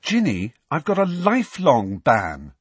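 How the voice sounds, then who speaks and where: A man's voice: fairly high pitch, huge pitch swings, a little slow, slightly rough, no room sound, pitched above the performer's own voice, exaggerated voice. A man voicing an earnest, frank, sports-mad teenage boy in an audiobook.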